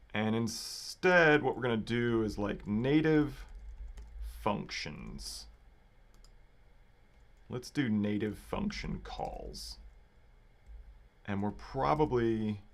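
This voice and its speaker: A man with a slightly raspy voice giving casual live coding commentary, thinking aloud informally as a developer hosting a livestream. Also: fairly low pitch. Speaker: livestream host and software developer. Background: computer keyboard typing and clicking.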